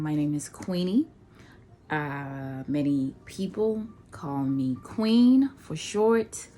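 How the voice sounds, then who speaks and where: Slow-spoken woman in her thirties. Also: sits low, darkish tone. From Nigeria. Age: 30s. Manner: slow and deliberate, many ums and pauses. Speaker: vlogger. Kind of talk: casual personal introduction to camera.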